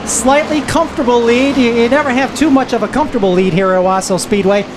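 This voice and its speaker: Woman calling a race over loud engines. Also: fairly high pitch, fast talker. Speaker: race commentator. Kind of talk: live stock car race commentary. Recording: loud vehicle noise behind. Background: race cars and trackside noise.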